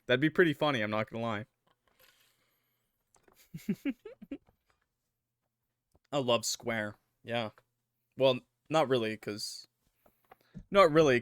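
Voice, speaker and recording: A young man giving casual, rambling let's-play commentary as a gaming YouTuber, chatty and quick to laugh, his voice a little worn. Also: mid-range voice, fairly bright tone, no echo, clean studio sound. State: losing his voice.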